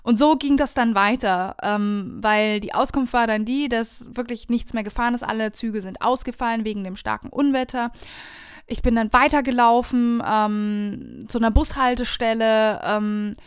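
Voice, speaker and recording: A woman telling viewers a personal travel story in a casual, animated, conversational way. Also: a little high, normal speed, clear, resonant tone, no room sound, studio quality.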